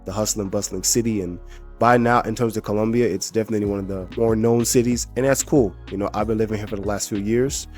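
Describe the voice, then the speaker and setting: A young man talking casually to camera in a vlog, relaxed and slangy. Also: on the low side, quick pace, faint music behind. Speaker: vlogger.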